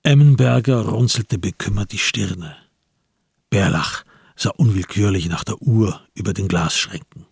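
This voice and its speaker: A man as the sober narrator of a radio crime drama, telling the story in measured literary prose. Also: fairly low pitch, creaky, darkish tone, husky, no room sound, clean studio sound, exaggerated voice.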